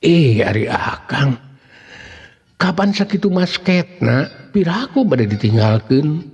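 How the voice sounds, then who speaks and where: A man's voice: on the high side, big pitch swings, slow, a little mellow, husky, faint music behind, heavily acted. A Sundanese-accented man voicing an earnest young man who pleads respectfully with his elder companion in a folk tale. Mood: pleading. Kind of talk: folk tale character dialogue. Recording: long reverb, dull muffled sound.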